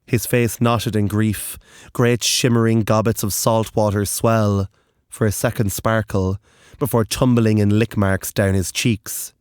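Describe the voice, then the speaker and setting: A narrator reading dark, literary horror fiction in a deliberate, intense and dramatic way. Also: medium pitch, level tone, smooth, dry recording, studio quality.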